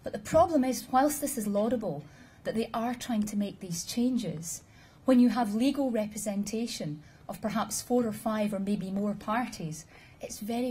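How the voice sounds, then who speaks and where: A woman giving a measured, explanatory conference presentation and answering questions with dry humor. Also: medium pitch, warm tone, breathy edge. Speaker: conference speaker. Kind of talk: formal presentation with audience questions.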